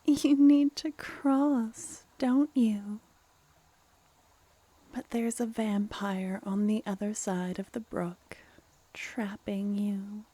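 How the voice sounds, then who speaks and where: Female vampire played by a woman for ASMR fantasy roleplay: regal, mischievous, coaxing, very slow delivery, soft and breathy, close dry sound. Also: medium pitch, fairly even intonation, no echo. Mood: mock sympathy. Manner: slow, teasing, mock-polite.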